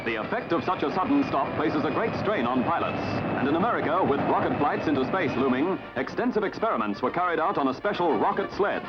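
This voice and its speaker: A man's voice: a little high, expressive, quick pace, hardly any pauses, dull audio, over loud music. A man with an Australian accent narrating in scripted 1950s newsreel style, with a theatrical, jaunty announcer delivery. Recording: band-limited vintage 1950s newsreel soundtrack.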